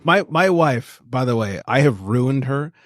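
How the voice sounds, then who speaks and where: A man's voice: medium pitch, lively intonation, measured pace, fairly bright tone, no room sound, studio quality. A man chatting as a podcast guest, laughing often and acting out quoted voices. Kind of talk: casual chat.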